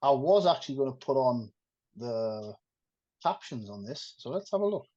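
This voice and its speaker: A man's voice: medium pitch, sing-song, normal speed, warm tone. A man with a Geordie accent co-hosting a casual podcast, blunt and teasing. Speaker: podcast co-host. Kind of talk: casual chat. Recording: dull lo-fi microphone.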